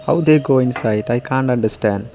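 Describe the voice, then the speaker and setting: A man's voice: medium pitch, moderate pace, warm tone. A man narrating a hands-on repair tutorial step by step, in short, simple, non-native English sentences. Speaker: DIY repair tutorial host. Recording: clearly noisy home recording.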